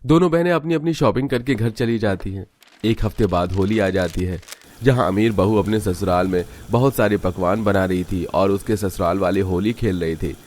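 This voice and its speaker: A man's voice: sits low, normal speed, a little mellow. A man giving scripted narration for a cartoon story.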